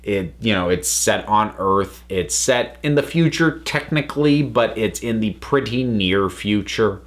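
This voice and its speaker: A man hosting a review vlog, talking casually and thoughtfully, with halting phrasing that often doubles back on words. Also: on the low side, fairly bright tone. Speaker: review channel host. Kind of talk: casual spoken review to camera.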